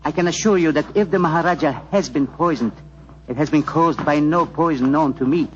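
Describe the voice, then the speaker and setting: An actor playing a solemn, proud Indian palace physician with formal, stately phrasing, in 1940s radio drama style. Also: male voice, a little high, a little fast, close to an everyday voice. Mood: affronted. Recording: dull lo-fi 1940s radio recording.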